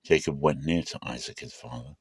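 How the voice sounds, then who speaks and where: A man narrating the Bible aloud as a dramatic reading, in a measured, solemn and expressive storytelling manner. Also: very deep voice, slightly rough, no room sound, studio quality.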